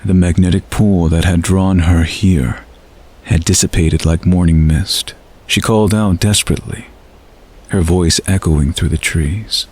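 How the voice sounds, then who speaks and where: A man narrating a scripted fantasy story in a measured, dramatic storytelling manner. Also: sits low, steady tone, a little mellow, husky.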